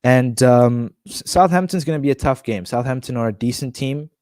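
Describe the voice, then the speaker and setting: A man's voice: mid-range voice, normal speed, a little mellow, no echo, studio quality. A man streaming a football manager game, talking casually and rambling with restarts, his nose blocked. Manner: chatty, rambling, many restarts and fillers. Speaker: gaming streamer.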